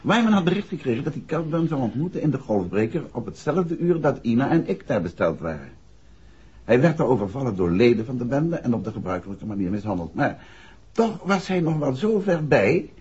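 An actor playing an assured detective husband explaining a case in a 1960s Dutch radio drama. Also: male voice, mid-range voice, sing-song, brisk, darkish tone, breathy edge. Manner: expository, assured, conversational. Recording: muffled, dull 1960s radio recording.

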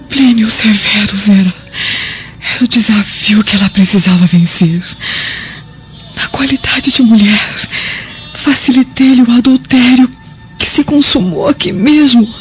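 An actress in a radio drama playing a dying, embittered mother raving on her deathbed in halting, anguished speech. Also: medium pitch, lightly acted. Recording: muffled lo-fi radio drama with music.

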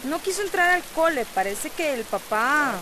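A woman's voice: high voice, lively intonation, normal speed, rough recording. An actress playing a concerned, hesitant teenage schoolgirl in a radio drama. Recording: crowd and rain noise behind.

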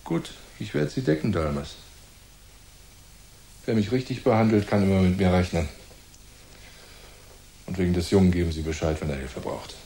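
An actor playing a gruff, bossy, self-serving police detective in a noir radio drama, blunt and slangy. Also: male voice, low-pitched, moderate pace, long pauses, mellow. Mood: conspiratorial. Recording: echoey room.

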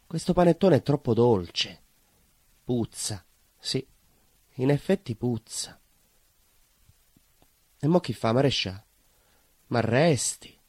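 An actor playing an anguished, naive baker with a southern Italian accent, confessing his shame in an intense, halting theatrical monologue full of obsessive repetition. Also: medium pitch, lively intonation, a little mellow, breathy edge, no room sound. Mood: bitter, broken. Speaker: stage actor.

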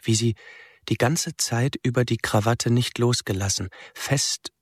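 Slow, husky young man, quietly reflective. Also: mid-range voice, dry recording, clean studio sound. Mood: tender, reflective. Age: young adult. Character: reflective young male outsider narrating what he witnessed. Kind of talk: German radio drama first-person narration.

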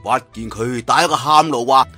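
A man's voice: medium pitch, normal speed, bright, forceful tone, soft background music. A man with a Cantonese accent telling a martial-arts tale in a dramatic oral storytelling style, with asides to listeners. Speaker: audiobook storyteller.

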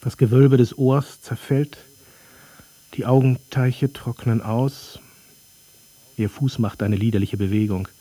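An actor playing a brooding, sardonic narrator in a literary radio play, speaking in terse, dry, deliberate fragments. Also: male voice, medium pitch, steady tone, dark tone, husky, no room sound. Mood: wry, observing.

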